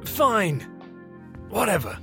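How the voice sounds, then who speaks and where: A sleazy, animated British hotel guest. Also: male voice, high-pitched, slow, vocal fry, faint music behind, heavily acted. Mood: sneering. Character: crude, sleazy British male hotel guest, mocking and cowardly. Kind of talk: audio drama acting.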